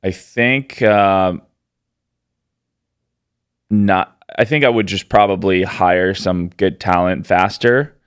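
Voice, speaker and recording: Podcast guest: man in his thirties from Poland, very low and smooth voice, dry close sound, easygoing and rambling. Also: slow, long pauses, no room sound. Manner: relaxed, rambling, many fillers. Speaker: podcast guest, business owner. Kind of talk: casual interview answers.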